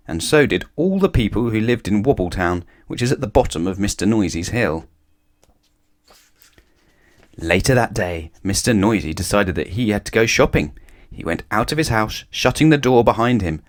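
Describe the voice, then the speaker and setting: A man narrating a children's storybook aloud in an expressive read-aloud style. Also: lowish voice.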